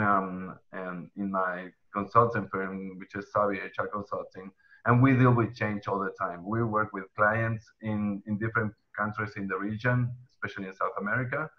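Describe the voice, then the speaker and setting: A man's voice: on the low side, dull audio. A young man from Argentina with an Argentine Spanish accent, moderating a webinar panel over a video call, full of ums and restarts. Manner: hesitant, many ums and restarts. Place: indoor room at home. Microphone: headset earphones on video call.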